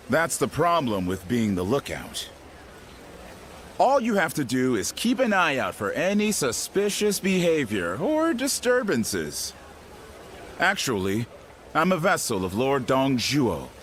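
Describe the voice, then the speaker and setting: A man voicing a sly, affable strategist in a video game, with a smooth, casual, teasing manner. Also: mid-range voice, wildly swooping pitch, slow, a bit bright, slight background noise, full character voice. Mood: friendly, curious. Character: sly, affable male strategist serving a warlord. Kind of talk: video game dramatic acting.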